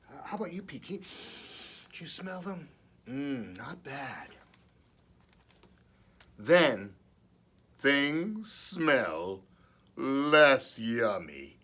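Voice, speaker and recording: A man reading aloud at a children's story time as a librarian, expressive and deliberate, with playful chatty asides. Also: mid-range voice, husky.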